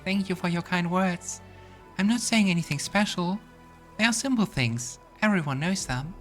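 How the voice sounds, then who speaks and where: A man voicing a frail young elf girl who preaches kindness in a gentle, delicate, earnest way for a fantasy game. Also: high-pitched, normal speed, pitched above the performer's own voice. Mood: modest. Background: game music.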